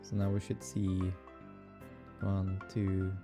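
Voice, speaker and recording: A young man with a Swedish accent, streaming casual game development and musing aloud with fillers and soft laughs. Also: sits low, completely flat delivery, takes it slowly, music in the background. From Sweden. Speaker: game developer streaming his hobby project. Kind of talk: casual live-coding stream chatter.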